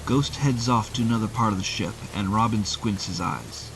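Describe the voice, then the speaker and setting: A man's voice: on the low side, moderate pace, background noise. A man narrating an adventure audio drama in a scripted, dramatic storytelling style. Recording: rain and music under the voice. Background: music and rain.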